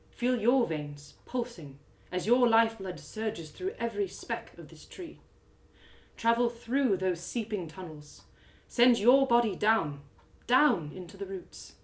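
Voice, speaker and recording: A woman voicing a solemn, gentle elf mentor in a one-woman audio drama, speaking in a measured, earnest, instructive way. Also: mid-range voice, natural voice.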